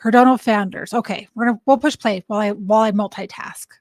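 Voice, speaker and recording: A woman hosting a livestream, reading aloud and thinking out loud as she looks things up, often correcting herself. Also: mid-range voice, steady tone, fast talker, no echo. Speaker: livestream host. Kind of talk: casual livestream commentary.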